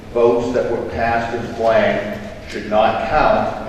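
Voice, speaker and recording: Halting man challenging the chair. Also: fairly low pitch. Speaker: state representative. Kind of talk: formal floor motion. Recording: echoey chamber, distant mic, faint noise.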